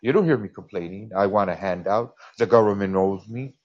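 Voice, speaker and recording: A man's voice: fairly low pitch, a little fast. A man from the United States calling into a podcast, talking earnestly and stumbling over repeated words. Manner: earnest, repetitive, stammering restarts. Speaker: caller. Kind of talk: casual call-in chat. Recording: dull lo-fi call audio.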